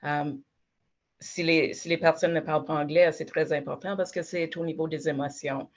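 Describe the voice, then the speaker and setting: A woman's voice: low-pitched, steady tone, moderate pace, lo-fi sound. A woman working at a health centre, answering a panel question reflectively.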